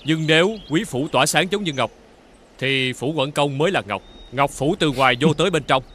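An actor playing a florid, declamatory official judging a contest in a fairy-tale costume film. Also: male voice, a little high, moderate pace, fairly bright tone, a little hiss or hum, close to an everyday voice. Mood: pompous, announcing.

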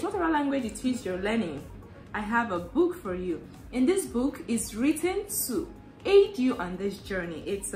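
A young woman, a language tutor, explaining in a relaxed chat on a sofa at home, with simple wording and frequent fillers. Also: a little high, echoey room. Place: living room, seated on a sofa indoors.

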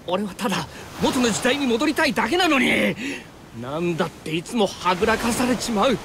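A man's voice: high-pitched, animated, moderate pace, creaky, noisy background. An actor playing an easygoing but desperate young male fighter from a video game, with a casual, slangy, pleading way of talking. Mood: frustrated. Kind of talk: anime video game voice acting. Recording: background music under the voice.

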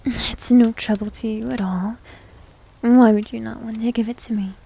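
A woman playing a needy, teasing young succubus demon in an ASMR roleplay, with a soft, breathy, often whispered voice and coy manner. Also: a little high, fairly even intonation. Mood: pouting, persuasive. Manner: coy, slow, coaxing. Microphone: close microphone.

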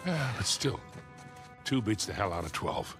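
Veteran rogue, low voice swinging wide, sly, score up front. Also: male voice, big pitch swings, a little slow. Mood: urgent. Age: older. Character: gruff older male mentor, cigar-chewing veteran rogue. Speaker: adventurer. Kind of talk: video game cutscene acting. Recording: game audio with clear music behind.

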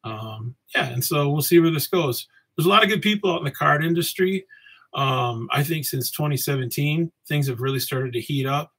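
A man in his forties, originally from Samoa, hosting a casual solo podcast from his home office, talking in a relaxed, rambling way with many ums. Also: a little high, normal speed, slightly rough, rough recording. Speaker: podcast host. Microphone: webcam framing, seated at desk.

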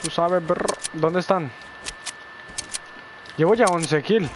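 Excitable man streaming a game. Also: on the high side, slow. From El Salvador. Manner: excitable, repeats a catchphrase, switches to English. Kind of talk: live gameplay chat. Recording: noisy game audio behind.

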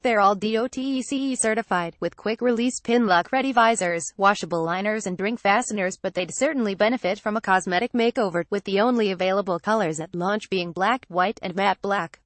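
A woman's voice: mid-range voice, a little fast, no echo. A woman narrating scripted product news, reading a written article word for word. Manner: reads written article word for word, spelling out abbreviations.

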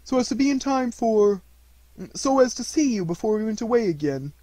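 A man's voice: very high-pitched, sing-song, normal speed, mellow, no room sound, pitched above the performer's own voice, poor audio. A man voicing a friendly, cheerful, simple-minded toy bear in a storybook, with an earnest and naive manner.

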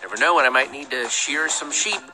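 A man playing an earnest, dryly funny young hero in conversational animated game dialogue. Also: mid-range voice, a little fast, close to an everyday voice, rough recording. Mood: dry, casual. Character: young male hero, earnest, wry, loyal friend. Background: music.

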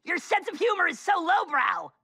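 A man's voice: very high voice, sing-song, normal speed, gravelly, heavy background noise, exaggerated voice. A man voicing a pompous, bitter male couch-potato villain in a cartoon, ranting theatrically and scornfully. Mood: bitter, exasperated. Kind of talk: animated cartoon acting.

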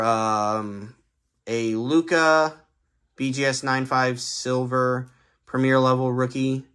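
A young man hosting a sports card channel, briskly running through his card pickups with frequent fillers, filmed at a table indoors. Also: medium pitch, pushed and bright, clean tone. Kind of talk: casual card pickup rundown. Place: indoor room, cards on a table.

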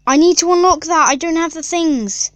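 A woman's voice: very high voice, normal speed, no echo. A young female gaming YouTuber chatting casually and rambling through a mobile game, with lots of fillers and giggles.

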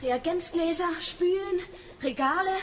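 An actress in a radio play playing a nervous, awestruck female student with an excitable manner. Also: very high-pitched, a little slow. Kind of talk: German radio play acting. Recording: noisy ambience behind.

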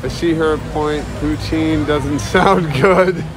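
A man talking loudly and casually to viewers as he live-streams while walking around. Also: on the high side, fairly even intonation, slow pace. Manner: loud, chatty, talks to viewers and chat. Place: outdoors at a busy shopping area, later indoors. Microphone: phone held while walking. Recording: phone audio with crowd noise. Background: crowd chatter.

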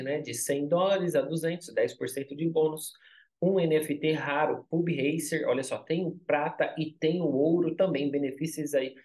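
A young man hosting a crypto channel, talking upbeat and salesy over a screen-share walkthrough, always tagging lines with quick asides. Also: on the high side, darkish tone. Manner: upbeat, salesy, constant "olha só" and "tá?" tags.

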